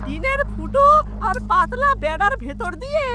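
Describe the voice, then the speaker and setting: A woman's voice: extremely high pitch, sing-song, moderate pace, heavy background noise, voice pushed up. A woman voicing a complaining, curious villager in a cartoon horror story, given to exclaiming and lamenting. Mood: fretful. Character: complaining, curious villager chatting on a winter night.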